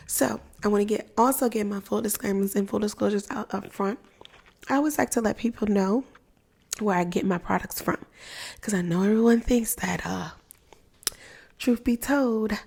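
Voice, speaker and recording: A woman in her thirties whose voice is raspy and hoarse, with a gravelly edge. She chats in a casual, upbeat way on a live stream, repeating herself and filling gaps with fillers. She speaks softly into a close microphone. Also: mid-range voice. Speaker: livestream host, pumping educator. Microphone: close mic, talking softly.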